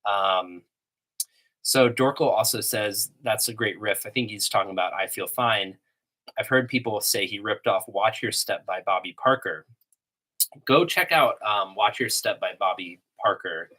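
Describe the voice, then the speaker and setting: A low-voiced man with a bit of a cold runs a relaxed livestream and answers chat questions as they come in. He talks in a rambling way with plenty of ums. The sound comes through a desktop computer mic, with guitar playing in the background. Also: measured pace, low-quality recording. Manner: relaxed, rambling, many "um"s and restarts. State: has a cold, a little sniffly. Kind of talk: casual livestream Q&A. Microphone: iMac desktop microphone. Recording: home desktop computer recording. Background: guitar playing between answers.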